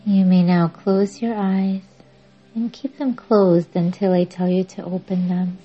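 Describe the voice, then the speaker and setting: A woman guiding a yoga nidra meditation in a measured, soothing, instructing way with long pauses. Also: fairly low pitch, steady tone. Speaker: meditation podcast host and guide. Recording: dull lo-fi audio under music. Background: music.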